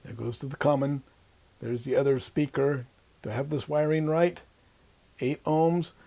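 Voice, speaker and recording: Older man, creaky teaching voice. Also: mid-range voice, fairly even intonation, normal speed, dark tone. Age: 60s or older. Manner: informal, thinking aloud while drawing. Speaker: electronics instructor. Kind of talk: explanatory whiteboard lecture. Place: small indoor room at a whiteboard. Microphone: lapel mic.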